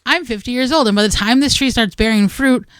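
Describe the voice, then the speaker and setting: A woman's voice: a little high, a little fast, fairly bright tone, clear, resonant tone, dry recording, clean studio sound. A woman co-hosting a gardening radio show, chatting casually with playful asides. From Wisconsin.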